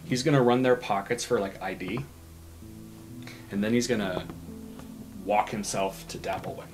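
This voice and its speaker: A man's voice: fairly low pitch, normal speed, over music, low-quality recording. A man playing a tabletop roleplaying game, casually narrating what his character does.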